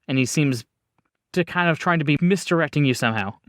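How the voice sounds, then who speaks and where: A man's voice: a little high, fairly quick, dry recording. Dry-sounding man running a tabletop game. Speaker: game master. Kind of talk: improvised tabletop horror game narration.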